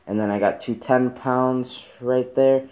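A man's voice: mid-range voice, fairly even intonation, a little slow, darkish tone. A young man vlogging casually from his bedroom, talking in a rambling, easygoing way with plenty of fillers, recorded on a handheld camera at arm's length. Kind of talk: casual vlog talk to camera. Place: bedroom at home, indoors.

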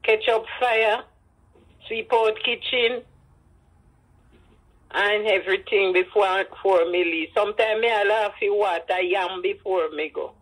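An elderly woman with a Jamaican accent and husky voice, telling personal stories with emphatic repetition and Creole phrasing. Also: mid-range voice, a little slow, low-quality recording. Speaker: interviewee, grandmother. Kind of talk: personal storytelling in an interview.

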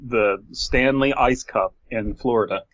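A man's voice: medium pitch, a little slow, fairly bright tone, no echo. A man with a mid-range voice hosts a joking podcast, riffing in mock-serious tones. The sound is close and dry, a little dull on top. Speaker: podcast host and story reader. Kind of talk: joking casual chat with some reading aloud.

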